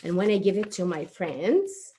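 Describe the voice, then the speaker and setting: A woman's voice: mid-range voice, sing-song, moderate pace, mellow, close to an everyday voice. Children's puppet show: chatty, conscientious young child played by a woman, thinking aloud in simple words. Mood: cheerful, eager.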